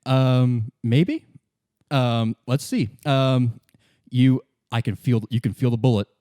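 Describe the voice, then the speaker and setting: A man running a tabletop roleplay as game master, improvising the narration and haltingly thinking aloud. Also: medium pitch, mellow, dry recording, studio quality.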